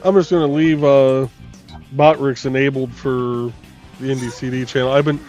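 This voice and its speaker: A man co-hosting a live stream, calmly explaining a technical problem in casual chat. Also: medium pitch, normal speed, muffled recording, soft background music. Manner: relaxed, explains technical issues. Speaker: co-host handling stream tech.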